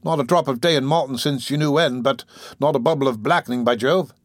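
A man's voice: mid-range voice, brisk, dry recording, clean studio sound, lightly acted. A man voicing a swaggering, easygoing housebreaker in a storybook, with cocky, offhand, slangy talk.

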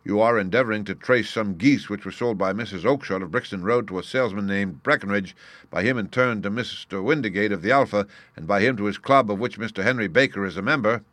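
A man voicing a confident, shrewd gentleman detective in a storybook reading, precise, genteel and knowing. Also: fairly low pitch, fast, no echo, natural voice. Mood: self-assured.

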